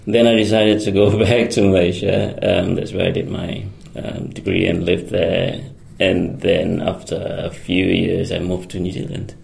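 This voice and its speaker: A man's voice: deep voice, little pitch movement, a little slow, gravelly, few pauses, a little mellow. A man, a guest answering interview questions with many ums, restarts and frequent laughs. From Singapore. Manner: hesitant, many fillers and restarts; laughs often. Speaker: guest, electronics engineer. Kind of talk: conversational radio interview.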